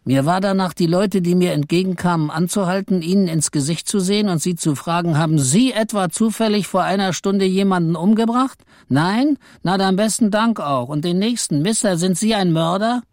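An actor playing a weary, self-mocking office clerk who narrates a German radio play. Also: male voice, sits high, normal speed, darkish tone, no echo, studio quality, lightly acted.

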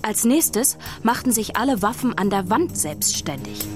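Ghost story narrator, medium pitch, suspenseful, dramatic, children's radio play, music behind. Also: female voice, big pitch swings, unhurried. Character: storyteller who witnessed the haunting.

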